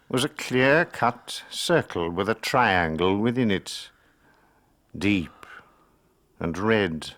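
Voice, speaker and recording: An actor narrating a dark tale as a grave, measured storyteller in a radio drama. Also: male voice, lowish voice.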